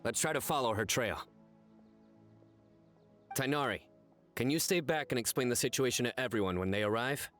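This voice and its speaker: A composed general voiced by a male actor in a video game. He has a medium-pitched voice and a serious, commanding manner, with music underneath. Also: moderate pace, long pauses, a bit bright, lightly acted. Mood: composed, purposeful. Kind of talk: video game character voice acting.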